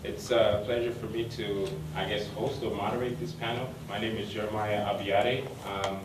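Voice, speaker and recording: Low guy moderating, lots of uhs, echoey hall, mic kinda far. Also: fairly even intonation, moderate pace, background noise. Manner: many ums and uhs. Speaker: panel moderator. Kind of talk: hesitant opening remarks. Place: university lecture room.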